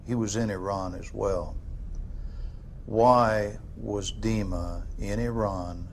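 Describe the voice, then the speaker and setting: An actor playing a hard, skeptical government investigator who presses and talks down to a soldier in a military game cutscene. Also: male voice, fairly low pitch, fairly even intonation, takes it slowly, a little hiss or hum. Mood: probing. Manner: stern, pressing, condescending. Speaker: interrogator.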